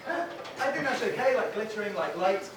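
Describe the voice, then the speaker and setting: A high-voiced Englishman with a softened Brighton accent tells wry stand-up stories in a deadpan way. He is heard from off the mic in a very echoey room. Steady noise hangs behind him. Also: normal speed. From England. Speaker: stand-up comedian. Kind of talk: stand-up comedy set. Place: comedy venue with audience. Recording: echoey, distant, noisy room.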